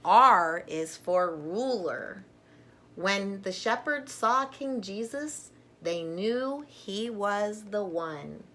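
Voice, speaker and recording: A woman's voice: mid-range voice, animated, drawn-out words, a bit bright, a little hiss or hum. A woman storyteller reading a children's story aloud with simple, emphatic phrasing and informal asides to young listeners.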